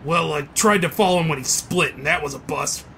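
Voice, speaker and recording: A man's voice: a little high, fairly quick, creaky, sharp tone, slight background noise. A man playing a brash young punk rocker in a comic book dub, blunt and slangy. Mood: agitated.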